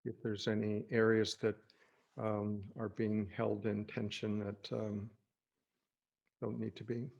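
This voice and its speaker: Low, gravelly man guiding meditation. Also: monotone, dull audio. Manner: gentle, halting, reassuring delivery with pauses. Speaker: meditation teacher. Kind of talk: guided meditation, unscripted spoken instruction.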